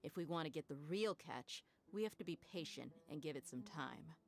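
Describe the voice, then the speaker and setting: Low-voiced, cool, confident mercenary woman. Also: animated, normal speed. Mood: explaining, confident. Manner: cool, self-assured, matter-of-fact. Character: worldly, confident young woman mercenary, shrewd and protective. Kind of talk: video game dialogue acting.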